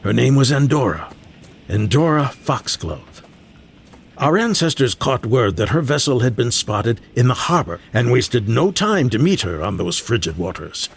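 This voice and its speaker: An actor playing a proud, teasing old grandfather who tells dramatic tales in a fantasy audio drama, with a gravelly voice. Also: medium pitch, big pitch swings, a little slow, full character voice. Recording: clear background ambience noise.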